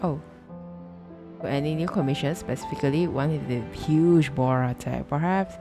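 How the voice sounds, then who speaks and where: A woman's voice: low-pitched, normal speed, music in the background. A woman live-streaming a video game with casual, hesitant commentary, often murmuring asides to herself.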